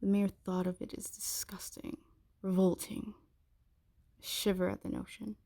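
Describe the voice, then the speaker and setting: Lady doing a haughty dragon queen for asmr roleplay, dark mellow voice with lots of fry, slow deliberate taunts, bossy and menacing. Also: medium pitch, little pitch movement. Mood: contemptuous, suspicious. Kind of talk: intimate ASMR roleplay acting.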